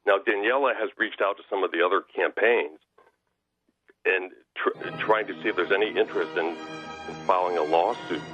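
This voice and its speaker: An American man giving political commentary as a radio guest over the telephone, halting and often restarting his sentences. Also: sits low, fairly bright tone, husky, soft background music. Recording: narrow tinny phone line.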